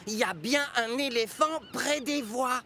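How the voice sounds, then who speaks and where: An actor voicing an excitable, cheeky young talking locomotive in a French-dubbed children's cartoon, speaking in an eager, emphatic way. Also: medium pitch, measured pace, a little hiss or hum. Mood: urgent. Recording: loud music behind.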